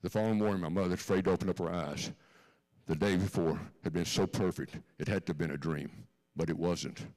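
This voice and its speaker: Deep-voiced older Texan man telling a story. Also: steady tone, a little fast, darkish tone, low-quality recording. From Texas, born in Austria. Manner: earnest storyteller, repeats key lines for emphasis. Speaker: guest speaker. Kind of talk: personal testimony told to a congregation. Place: church sanctuary.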